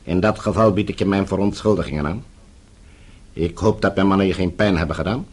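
An actor playing a calmly menacing middle-aged official in a radio play, measured, polite and condescending. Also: male voice, low-pitched, husky. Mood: cool, polite. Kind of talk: Dutch radio play acting.

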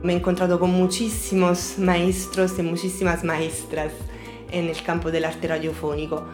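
A woman with an Italian accent, Italian being her first language, answering interview questions as a radio artist. She talks thoughtfully, pausing often to search for her words. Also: fairly low pitch, monotone, over music. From Naples, Italy.

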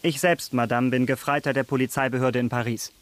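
A man's voice: medium pitch, fairly even intonation, a little fast, no echo, lightly acted. An actor playing a restrained, dutiful policeman in a radio drama. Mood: firm. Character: restrained, dutiful policeman who posed as abbé. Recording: faint background hiss.

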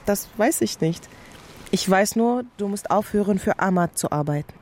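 Actress as a mellow, coaxing secretive lover. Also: female voice, mid-range voice, expressive, moderate pace, close to an everyday voice. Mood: pleading. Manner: coaxing, intimate. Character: soft, coaxing, secretive woman, the reporter's lover. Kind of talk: radio drama acting.

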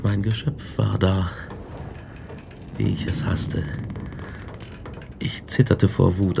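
A guilt-haunted scientist narrates his tale in a radio play. The voice is gravelly and measured with very little melody, grave and brooding. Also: fairly low pitch, noisy background. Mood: dread, loathing. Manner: grave, brooding, literary delivery. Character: tormented male scientist recounting his guilt and dread. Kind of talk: first-person dramatic narration in a radio play.

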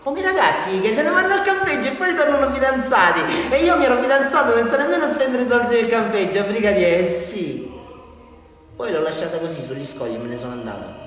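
An actor playing a disturbed, childlike male criminal in a radio drama, rambling and halting through his confession in a southern Italian accent, swinging between hushed and loud. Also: very high-pitched, expressive. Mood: agitated. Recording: distant, very echoey reverberant hall.

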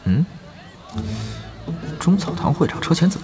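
A man's voice: on the high side, very animated, unhurried, music in the background, a crowd in the background. An actor playing an affable, scheming male guild leader in an audio drama, chummy, exclamatory and eager to please. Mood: rueful.

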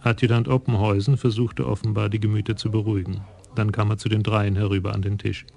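A man narrating a radio crime play in a measured storytelling manner. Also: on the low side, little pitch movement, creaky, a little mellow.

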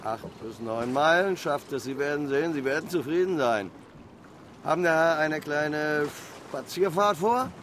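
An actor playing a practical, wary harbour pilot and boat owner in a radio play, speaking in a blunt, down-to-earth way. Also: male voice, on the high side, lively intonation, unhurried, a bit bright, a little hiss or hum.

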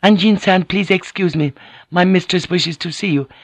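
A man's voice: high voice, normal speed, dry recording, voice pushed up. A man voicing a polite, dutiful young maidservant with a deferential, formal manner, in an audiobook.

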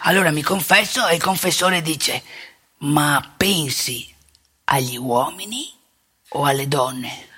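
A woman playing a candid, indignant elderly male priest in a theatrical monologue, with a rough voice and colloquial, emphatic storytelling. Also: sits low, fairly bright tone, exaggerated voice. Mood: wry, confessional. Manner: colloquial, confiding, emphatic, with dramatic pauses. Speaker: stage actor and monologuist.

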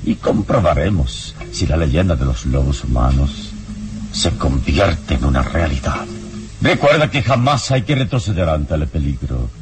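A serene adventurer and mentor in an old radio drama. His voice is exceptionally deep, with wide melodic swings, solemn and reassuring. A little noise sits on the recording. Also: big pitch swings, unhurried, full character voice. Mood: serene, resolute. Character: calm, wise, fearless adventurer hero and mentor. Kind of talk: old radio serial acting.

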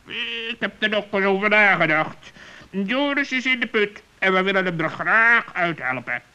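A man playing a clever old male bird in a children's radio play, with a piercing voice and halting exclamations. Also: high-pitched, animated, clean tone, full character voice. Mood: clever, insistent. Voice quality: sharp, piercing.

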